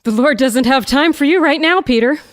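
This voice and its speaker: A woman acting in a radio drama as an exasperated, sharp-tongued wife scolding her husband in a car. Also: sits high, moderate pace, lightly acted. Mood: dry. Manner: scolding, sarcastic. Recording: clear car engine and road noise.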